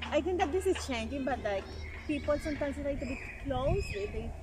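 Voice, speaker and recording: A woman's voice: sits high, lively intonation, fairly quick, noisy background. A woman from Colombia with a Colombian Spanish accent, Spanish as her first language, chatting casually as an interview guest, cheerful and laughing often, with many fillers.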